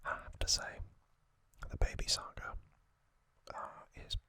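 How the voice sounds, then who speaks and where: A man hosting an ASMR video, speaking trivia and commentary in a gentle, breathy whisper with short, broken, unhurried phrases. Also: dry recording.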